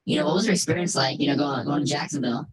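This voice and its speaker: A man with a robotic voice effect asking halting, wordy questions as a sports interviewer. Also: fairly high pitch, poor audio. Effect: robotic voice modulation. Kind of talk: conversational interview.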